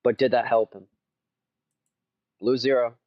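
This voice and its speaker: A man's voice: medium pitch, flat delivery, measured pace, no echo. Man commentating casually, dull dry recording. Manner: short, clipped remarks, often repeated. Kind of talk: casual colour commentary of a card game.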